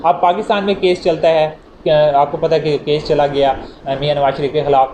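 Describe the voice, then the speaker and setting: A man with a Pakistani accent giving an explanatory lecture in a didactic way, repeating phrases to make points clear. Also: a little high, flat delivery, brisk, a little mellow. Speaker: law lecturer. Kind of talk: explanatory lecture with examples, mixing Urdu and English. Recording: echoey room with faint background noise.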